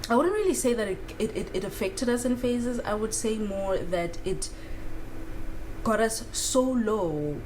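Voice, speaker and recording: A woman's voice: on the high side, animated, measured pace, a little mellow, poor audio. A woman around thirty from South Africa, talking through spiritual ideas in a candid, casual monologue with frequent fillers and the odd swear word. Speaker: spiritual vlogger. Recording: clear background noise.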